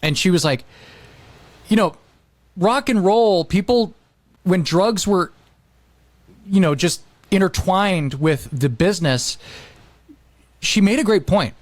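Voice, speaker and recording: A man's voice: high voice, lively intonation, slow, pauses often, no echo. A man chatting casually as a podcast host, telling stories and acting out quotes as he goes.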